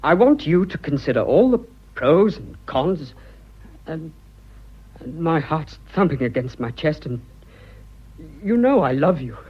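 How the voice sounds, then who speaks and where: An actor playing an earnest, awkward young English businessman in love, halting and self-conscious, in a period radio drama. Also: a little high, sing-song, slightly rough, muffled recording, exaggerated voice. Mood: agitated, lovestruck. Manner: halting, self-conscious, blurting, clipped old-fashioned speech.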